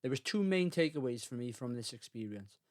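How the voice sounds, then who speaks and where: A man's voice: medium pitch, expressive, a little fast, a little mellow. Candid man with a vlog diary. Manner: reflective, candid, a little self-deprecating. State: congested from a cold. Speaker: vlogger. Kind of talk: mix of scripted voice-over narration and casual diary-style talk.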